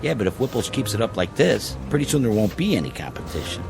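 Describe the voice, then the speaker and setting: An actor playing an idle, uneasy factory worker in a radio drama, chatty and full of casual questions. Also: male voice, fairly low pitch, big pitch swings, fast talker, vocal fry, music in the background. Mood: restless.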